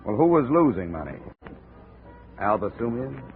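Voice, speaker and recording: An actor playing a cool, persistent insurance investigator in a 1950s radio drama, clipped and matter-of-fact. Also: male voice, mid-range voice, sing-song, moderate pace, soft background music. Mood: brisk, insistent. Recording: tinny, noisy old radio recording.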